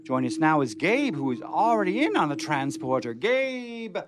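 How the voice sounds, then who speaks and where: A man's voice: a little high, sing-song, normal speed. A man hosting a live show, announcing performers in a theatrical, exclamatory manner. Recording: distant voice over loud background noise.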